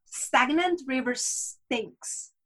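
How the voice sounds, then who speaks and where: High-voiced woman. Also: drawn-out words, a bit bright. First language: Czech. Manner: earnest, reflective, with rhetorical asides. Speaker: guest. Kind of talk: candid personal conversation.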